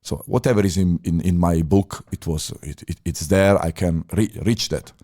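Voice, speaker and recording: Italian man, deep voice, halting English. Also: warm tone. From Italy. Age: 30s. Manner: halting non-native phrasing with many fillers and restarts. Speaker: podcast guest, former chef. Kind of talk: casual interview talk.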